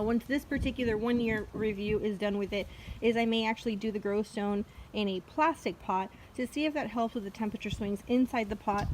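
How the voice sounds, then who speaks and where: A woman who is losing her voice, a hoarse orchid grower chatting casually outdoors with lots of ums and uhs. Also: fairly high pitch, background noise. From Florida. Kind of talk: casual vlog walkthrough. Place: outdoors in a chilly backyard.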